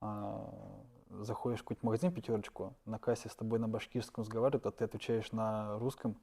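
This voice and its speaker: Fast-talking man with a mellow voice. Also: fairly low pitch, gravelly. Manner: relaxed, trailing off quietly at ends of phrases. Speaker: stand-up comedian, guest. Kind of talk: casual interview answers.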